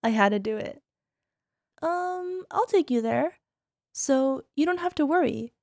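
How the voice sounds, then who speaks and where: A very high, girlish voice of a woman acting as a young yandere wife in an ASMR roleplay. She coos and coaxes sweetly, doting yet possessive, with a controlling menace underneath. The recording is dry, with no room sound. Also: expressive, unhurried, creaky, a little mellow, dry recording, exaggerated voice. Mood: doting, teasing. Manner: cooing, coaxing, sugary with controlling edge. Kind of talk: ASMR roleplay, speaking directly to listener.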